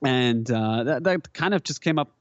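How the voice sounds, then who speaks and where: Halting man, dry sound. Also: medium pitch, lively intonation, no echo, lo-fi sound. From German-speaking Switzerland. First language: Swiss German. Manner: halting, full of restarts and repeated words. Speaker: musician guest. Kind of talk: casual interview answers.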